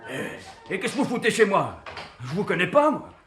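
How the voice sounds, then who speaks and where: Irritable ailing crook, high, swooping voice, suspicious, slangy, roomy echo, radio drama. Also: male voice, wildly swooping pitch, normal speed, fairly bright tone, faint music behind, heavily acted. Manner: gruff, slangy, indignant. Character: surly, suspicious ailing male lowlife, quick to anger. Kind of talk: French radio play acting.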